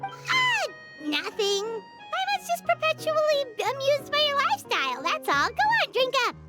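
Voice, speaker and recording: Super high squeaky little fairy sidekick, cheeky n chatty, game voice, loud music behind. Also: female voice, wildly swooping pitch, normal speed, heavily acted. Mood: sly. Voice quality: squeaky, childlike. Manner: cheeky, talks of self in third person. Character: tiny floating fairy companion, childlike, cheeky and chatty. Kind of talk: anime-style video game voice acting.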